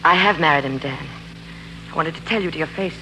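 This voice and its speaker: A woman's voice: sits low, brisk, natural voice. A woman playing a sceptical, independent career woman in a 1940s radio drama, with an American accent and a dry, clipped manner. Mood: guarded, apologetic. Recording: noisy, humming 1940s radio recording.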